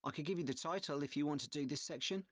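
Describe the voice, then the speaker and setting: A man playing an easygoing, agreeable young student in a measured, understated scripted dialogue. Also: on the high side, fairly bright tone, no echo. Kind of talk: scripted exam listening dialogue.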